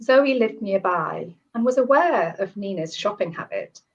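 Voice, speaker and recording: A woman whose voice is husky and croaky from a cold. She talks in a chatty way, with small laughs and restarts, and reads her story aloud. The sound is dull and lo-fi, like a video call. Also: medium pitch, animated, unhurried. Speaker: short story author and guest. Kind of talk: casual chat, then reading her story aloud.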